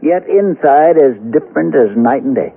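A sober frontier lawman in a vintage western radio play. He is troubled and probing, plain in his speech, with a raspy tone and animated pitch. The sound is dull, like an old broadcast. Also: moderate pace, exaggerated voice. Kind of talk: 1970s radio drama acting, western.

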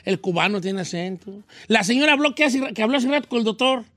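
A man's voice: high voice, lively intonation, fast, a bit bright, no echo. A Mexican man hosting a radio show, chatting casually and joking about accents. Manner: jokey, imitates accents playfully. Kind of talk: casual studio banter.

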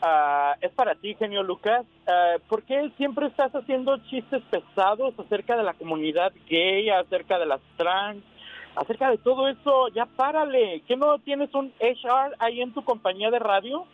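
Very high-voiced man complaining by phone. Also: animated, moderate pace. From Sacramento area. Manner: insistent, complaining. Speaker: caller. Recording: tinny phone line.

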